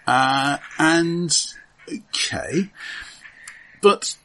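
A man co-hosting a casual film discussion, analytical and often halting, repeating words as he frames a point. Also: a little high, pushed and bright, low-quality recording. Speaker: film podcast host.

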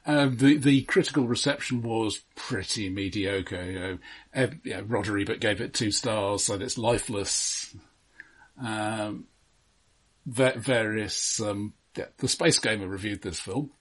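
A man's voice: on the low side, measured pace, husky. A man co-hosting a film podcast, chatting casually in a hesitant, pedantic, detail-minded way. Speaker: film discussion podcast co-host.

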